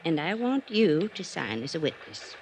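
An actress playing an ailing, aging society woman in an old-time radio drama, gravelly and stubbornly dismissive. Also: lowish voice, big pitch swings, moderate pace. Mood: confiding. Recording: noisy old radio recording.

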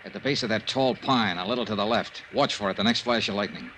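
An actor playing a tough, weary private investigator with an American accent and clipped, matter-of-fact delivery in an old radio detective drama. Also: male voice, lowish voice, fast talker, lightly acted. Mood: urgent, terse. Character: tough, weary male private investigator, narrating his case. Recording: noisy old radio serial recording.

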